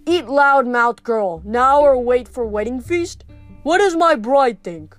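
A woman voicing a huge, dim-witted male Cyclops monster for a storybook, hungry and menacing, bellowing slowly in broken grammar. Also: sits high, expressive, no echo, faint music behind. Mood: hungry pondering.

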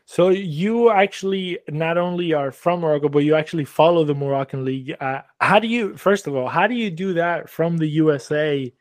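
A man's voice: fairly high pitch, moderate pace, few pauses, no room sound. A man hosting a casual interview, asking loose, rambling questions full of fillers. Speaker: podcast host.

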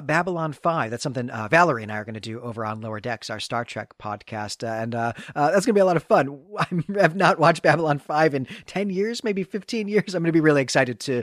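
A man co-hosting a podcast in a casual, conversational style with somewhat halting phrasing. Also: a little high, a bit bright, dry recording, studio quality. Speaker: podcast co-host. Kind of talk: casual chat.

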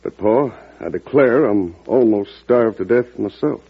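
A male actor playing a humble, plainspoken farmer in an old-time radio drama, talking in a halting, deferential, folksy way. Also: on the low side, husky. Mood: folksy storytelling. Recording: tinny, band-limited vintage radio recording.

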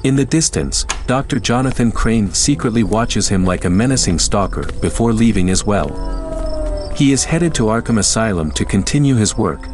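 Low-voiced male drama narrator. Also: lively intonation, moderate pace. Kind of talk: scripted audio drama narration. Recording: music clearly behind voice.

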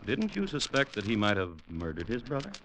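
An actor playing a wry storyteller in a classic radio drama, narrating theatrically with deliberate pauses. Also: male voice, on the low side, wildly swooping pitch, a bit bright, slight background noise. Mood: dry, explanatory. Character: wry storyteller chronicling a village murder tale.

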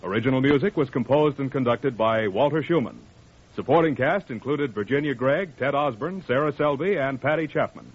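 A man's voice: mid-range voice, expressive, fairly quick, slightly rough. A man announcing a radio program in a scripted 1940s broadcast style. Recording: dull vintage radio recording, music behind.

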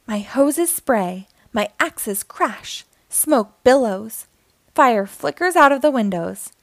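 Animated, high-voiced woman reading a storybook. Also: slow pace, no echo. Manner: animated, dramatic storytelling for young children. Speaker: storybook reader, host. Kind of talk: expressive children's read-aloud narration.

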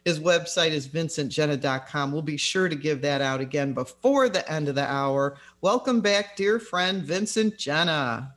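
A man's voice: on the high side, lively intonation, moderate pace, hardly any pauses, fairly bright tone. A man co-hosting a talk show, reading a guest introduction in a friendly, upbeat way. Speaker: co-host.

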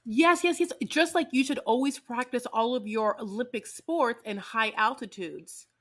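Bright, animated chatty woman. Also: on the high side, normal speed. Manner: animated, chatty, calls people honey. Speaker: podcast co-host. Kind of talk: casual chat.

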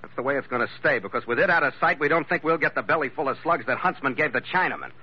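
An actor playing a tough, wisecracking ship's captain with an American accent in a hard-boiled old radio adventure, sardonic and slangy. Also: male voice, mid-range voice, racing, husky, lightly acted. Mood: defiant, sardonic. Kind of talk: hard-boiled 1940s-50s radio acting and narration. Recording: dull vintage radio recording.

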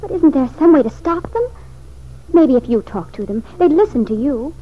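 A woman's voice: high-pitched, very animated, moderate pace. An actress playing a fragile, lovesick young wife in a vintage radio soap opera, plaintive and hesitant in her speech. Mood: dismayed, pleading. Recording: muffled, faintly noisy vintage radio recording.

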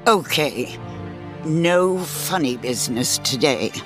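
A woman playing a condescending old storyteller in a comedic audio drama, dry, deliberate and snide. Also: sits low, animated, soft background music. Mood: weary condescension. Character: condescending old female storyteller, long-suffering and superior.

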